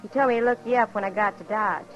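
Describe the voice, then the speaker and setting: Actress as friendly young saloon newcomer. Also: female voice, mid-range voice, steady tone, fast talker, muffled recording, faint music behind, natural voice. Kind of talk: 1950s Western radio drama acting. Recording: vintage radio drama recording.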